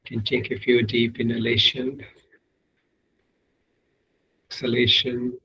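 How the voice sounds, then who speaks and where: A man leading a guided meditation as a teacher, giving measured, unhurried instructions with long pauses. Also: medium pitch, monotone, pushed and bright, slight background noise, poor audio.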